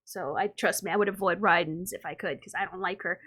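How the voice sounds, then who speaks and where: A woman's voice: on the low side, fairly even intonation, fairly quick, a bit bright. A woman live-streaming a game with casual, slangy, reactive commentary and offhand swearing.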